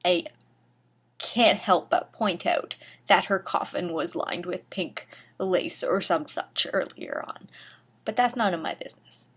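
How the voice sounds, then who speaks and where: Slow-paced woman reading a story theatrically. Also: lowish voice, a bit bright, husky. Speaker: reader of a fan fiction story. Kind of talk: dramatic reading with dry asides.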